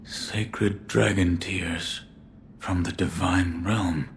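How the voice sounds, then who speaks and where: Very deep, stoic shinobi bodyguard. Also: male voice, fairly even intonation, drawn-out words, creaky, a little hiss or hum, heavily acted. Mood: questioning. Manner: terse, solemn, formal. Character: stoic adult male shinobi bodyguard, loyal and terse. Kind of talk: video game cutscene acting.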